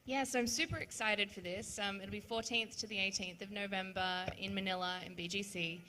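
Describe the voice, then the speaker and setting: Australian woman, event organizer on a panel, casual and chatty, mid-pitched. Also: fairly even intonation, moderate pace, bright, forceful tone. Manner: chatty, frequent ums, upbeat.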